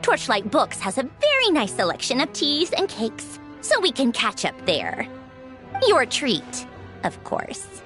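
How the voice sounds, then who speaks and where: A woman's voice: high-pitched, huge pitch swings, a little slow, a bit bright, full character voice. An actress voicing a poised, sardonic female Fatui Harbinger in a video game, cool and composed, with faint music behind. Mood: dry, sardonic.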